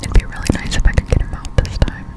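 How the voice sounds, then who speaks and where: A young woman whispering casual ASMR game commentary in a breathy voice, close to the microphone. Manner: casual, slangy, unhurried chat. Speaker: gaming ASMR streamer. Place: indoor room at home. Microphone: close-up Blue Yeti microphone.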